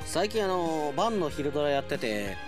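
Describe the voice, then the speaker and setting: A male radio announcer presenting in a chatty, playful, animated conversational way. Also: fairly high pitch, moderate pace. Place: radio studio. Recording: studio voice over faint music.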